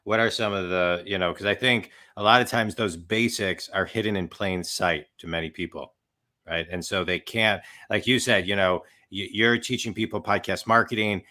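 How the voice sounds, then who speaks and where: A man's voice: deep voice, steady tone, normal speed, pushed and bright. A man hosting a business interview, asking long, rambling, conversational questions.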